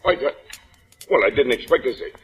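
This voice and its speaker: Shifty radio-drama gangster, animated delivery. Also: male voice, mid-range voice, fast, breathy edge, faint music behind. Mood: nervous, stammering. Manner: slangy tough-guy talk. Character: shifty gangster henchman, stammering excuses, turning mockingly menacing. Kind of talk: old-time radio suspense acting. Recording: vintage radio drama recording.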